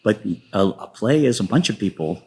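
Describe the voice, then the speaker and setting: A man talking casually as a playwright guest in an interview, enthusiastic, with a rambling, halting delivery. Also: fairly low pitch, animated, darkish tone.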